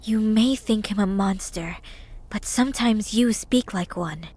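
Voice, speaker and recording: An actress playing a determined, devoted young nun on the run in a dramatic visual novel, earnest and resolute, with music underneath. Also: female voice, medium pitch, unhurried, darkish tone, breathy edge. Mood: guarded, cool.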